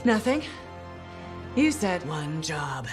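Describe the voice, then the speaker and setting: A woman's voice: sits low, very animated, takes it slowly, full character voice. A woman playing a capable, loyal, wry armored pilot in naturalistic video game acting. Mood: wry relief. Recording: loud music behind the voice.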